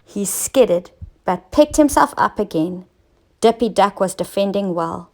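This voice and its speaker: A woman teacher narrating a scripted children's story, expressive and clearly enunciated for young listeners. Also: medium pitch, a little slow, background noise. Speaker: teacher reading stories to children.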